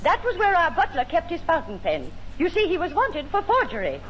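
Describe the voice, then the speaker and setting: A woman's voice: very high-pitched, huge pitch swings, a little fast, muffled recording, very noisy, heavily acted. An actress playing a prim, boastful society lady with formal diction in a 1940s radio comedy. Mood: haughty, boastful. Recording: old radio recording with loud audience.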